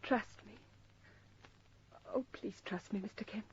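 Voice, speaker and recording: An actress playing a frightened young secretary who pleads and stammers, breathless, in old-time radio melodrama style. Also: female voice, mid-range voice, a little slow, a little hiss or hum, close to an everyday voice. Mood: pleading, frightened. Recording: dull old radio recording.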